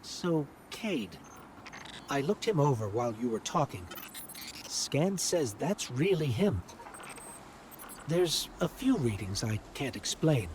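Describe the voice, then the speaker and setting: An actor playing a small, gentle machine guide in a video game cutscene, speaking earnestly and carefully. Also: male voice, medium pitch. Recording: clear ambient noise behind the voice.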